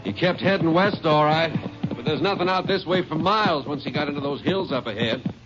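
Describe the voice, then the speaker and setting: An actor playing a folksy country sheriff. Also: male voice, a little high, brisk, music in the background, lightly acted. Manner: drawling, folksy. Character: plain-spoken rural county sheriff, man. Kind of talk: 1950s radio drama acting. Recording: muffled noisy 1950s radio broadcast.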